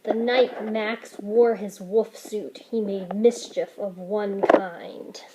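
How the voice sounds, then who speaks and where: A woman reading a picture book aloud to children, slowly and deliberately, with dramatic emphasis and some noise in the recording. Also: mid-range voice, fairly even intonation. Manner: slow, deliberate, dramatic emphasis for young listeners. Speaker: story reader for children.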